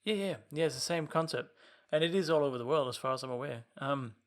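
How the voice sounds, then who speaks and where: A man's voice: a little high, fast. A man from Denmark, co-hosting a podcast in casual, chatty conversation, explaining things at length with frequent restarts. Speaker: podcast co-host. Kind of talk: casual chat.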